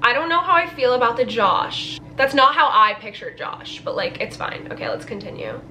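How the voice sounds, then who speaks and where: A woman's voice: on the high side, big pitch swings, moderate pace, over music, low-quality recording. A young woman chatting candidly and gushingly in slangy language, reacting to a film trailer as a vlogger in a bedroom. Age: young adult. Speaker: book vlogger. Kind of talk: casual trailer reaction vlog. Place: small bedroom, indoors.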